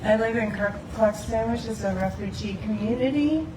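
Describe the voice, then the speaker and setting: A woman's voice: mid-range voice, moderate pace. A woman shares heartfelt, hesitant remarks at a community open mic. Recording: distant mic, roomy, background noise.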